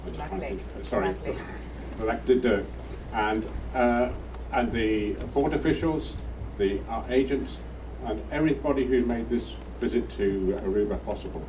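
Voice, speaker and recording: Older retired captain giving a humble thank-you, lower voice, recorded from afar over background noise. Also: male voice. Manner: humble, halting, with restarts. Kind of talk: formal thank-you speech. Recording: distant mic, clear background noise.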